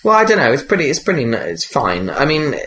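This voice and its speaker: A man's voice: medium pitch, wildly swooping pitch, fast, fairly bright tone. A man chatting casually about video games as a podcast host, rambling and hesitant with frequent swearing. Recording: clearly noisy background.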